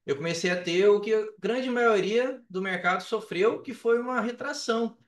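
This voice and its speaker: Male podcast guest, Brazilian accent, high register, animated colloquial storyteller, recorded roomy and a little off the mic. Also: moderate pace. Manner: animated, colloquial, acts out quoted dialogue. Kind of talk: casual personal storytelling in an interview. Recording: roomy echo, distant from microphone.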